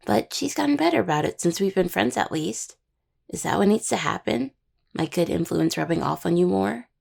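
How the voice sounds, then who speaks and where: A young woman playing a caring, teasing necromancer healer in an intimate audio roleplay, with a husky voice and conversational delivery. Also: lowish voice, animated, moderate pace, a little mellow, heavily acted. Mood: playful teasing. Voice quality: husky, gravelly. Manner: hesitant, teasing, full of pet names.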